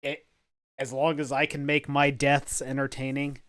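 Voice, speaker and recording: A man hosting a podcast in a deadpan, halting way, stumbling over his words now and then. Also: mid-range voice, clear, resonant tone, no room sound, clean studio sound. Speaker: podcast host. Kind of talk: casual podcast chat.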